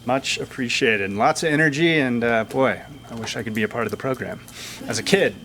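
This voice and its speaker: A man's voice: mid-range voice, a little fast, fairly bright tone. A man hosting a community breakfast meeting, calling up speakers and reading announcements in an informal, upbeat way. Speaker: meeting host.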